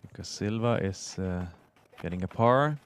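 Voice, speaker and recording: Male sports commentator, Swedish accent, native Swedish speaker, low voice, conversational live delivery. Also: lively intonation, measured pace, slight background noise. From Sweden.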